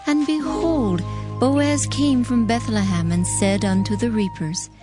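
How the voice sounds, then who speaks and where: A woman narrating scripture from a script in a measured, formal way. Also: on the high side, over music.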